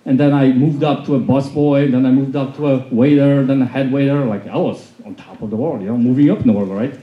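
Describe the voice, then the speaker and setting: A man's voice: medium pitch, a little fast. Polish-born man telling his story to a room. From Poland. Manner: loud, animated storytelling. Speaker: motivational speaker. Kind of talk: storytelling talk to an audience. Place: large conference hall with a seated audience. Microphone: handheld mic, filmed from the back of the room. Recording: echoey hall, dull sound.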